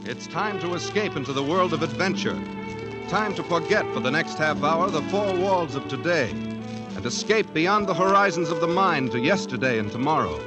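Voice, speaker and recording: A man announcing a 1950s radio drama in a measured, declamatory style. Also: a little high, expressive. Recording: vintage radio broadcast over loud music.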